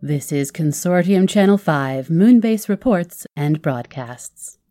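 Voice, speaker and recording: A woman's voice: low-pitched, lively intonation, slow pace, mellow. A woman playing a polished corporate channel announcer in a sci-fi audio drama, speaking in an official promotional manner.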